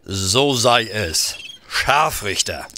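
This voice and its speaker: An actor playing a stern, solemn nineteenth-century judge in a radio play, formal and commanding. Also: male voice, lowish voice, animated, very slow, bright, forceful tone, a little hiss or hum, exaggerated voice. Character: stern, solemn 19th-century male judge presiding over an execution.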